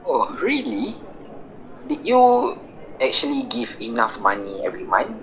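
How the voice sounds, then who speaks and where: Casting: male actor as frank, informal buddy for amateur radio drama, very animated intonation, muffled lo-fi sound. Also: medium pitch, unhurried. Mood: surprised. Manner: candid, informal. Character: frank, reasonable male best friend. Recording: dull, slightly noisy recording.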